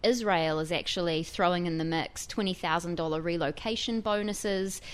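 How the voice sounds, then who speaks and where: A woman with a New Zealand accent, a tech reporter explaining her story as a guest in a radio interview, fluent and lively. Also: lowish voice, normal speed, clear, resonant tone.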